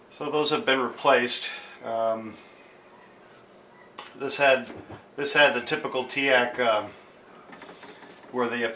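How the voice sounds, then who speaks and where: Man in a roomy, bright-voiced demo. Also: mid-range voice. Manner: informal, hesitant, explanatory. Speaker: tape deck restorer demonstrating a machine. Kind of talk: casual unscripted product demonstration. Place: indoor room at home. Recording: roomy, echoing sound with hiss.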